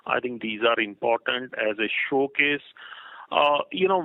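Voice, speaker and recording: A man with an Indian accent answers analysts as a company executive on an earnings call, in a halting, rambling way with repeated words. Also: mid-range voice, gravelly, dry recording. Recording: muffled, band-limited conference phone line.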